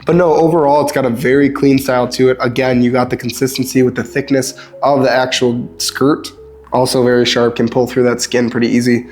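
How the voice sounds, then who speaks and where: A young man with a stuffed-up nose, casually reviewing fishing lures in a relaxed, chatty way with lots of ums. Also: mid-range voice, fairly quick, soft background music. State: stuffed up, sniffly. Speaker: lure reviewer.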